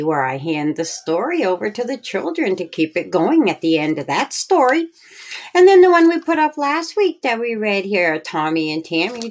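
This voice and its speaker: Woman reading children's storytime, slow and lilting. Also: a little high. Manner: simple, direct address to young listeners. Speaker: children's story author and reader. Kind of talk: read-aloud children's storytime.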